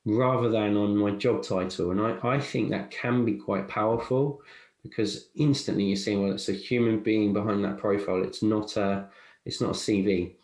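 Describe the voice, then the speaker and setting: A man hosting a podcast, talking in a thoughtful, halting way that often repeats words. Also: fairly low pitch, steady tone, a little mellow. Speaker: podcast host. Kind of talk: casual podcast chat. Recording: echoey room.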